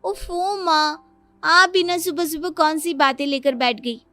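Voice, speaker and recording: A woman's voice: sits high, steady tone, unhurried, sharp tone, faint music behind, pitched above the performer's own voice. A woman voicing a sweet, dutiful young woman of the family in a cartoon moral story, with affectionate, pleading talk. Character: devoted young daughter or daughter-in-law, sweet and dutiful.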